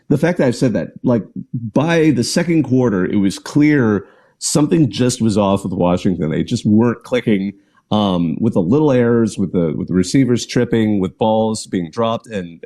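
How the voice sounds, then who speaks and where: A man's voice: mid-range voice, warm tone. A man hosting a live call-in sports show, chatting casually and rambling with restarts and small laughs. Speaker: podcast host.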